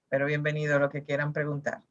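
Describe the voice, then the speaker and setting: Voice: medium pitch, little pitch movement, a little fast, a bit bright. Moderator on a video call, muffled lo-fi sound, invites questions and reads the chat. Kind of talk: moderating an online talk.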